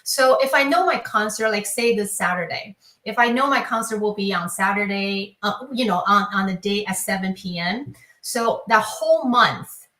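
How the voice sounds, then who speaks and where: A woman's voice: medium pitch, moderate pace, fairly bright tone. A woman with a Taiwanese accent talking reflectively as an interview guest, explaining things with repeated words and phrases. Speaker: classical pianist, teacher, interview guest. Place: online video discussion, voice in an echoey room. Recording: echoey room sound.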